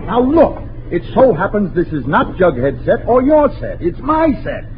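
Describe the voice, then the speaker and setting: Exasperated dad, wildly swooping voice. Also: male voice, high voice, huge pitch swings, normal speed, some chatter behind. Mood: blustering. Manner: blustery, long-suffering. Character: exasperated, grumbling suburban father. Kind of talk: 1940s radio comedy acting. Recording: muffled, noisy 1940s radio broadcast.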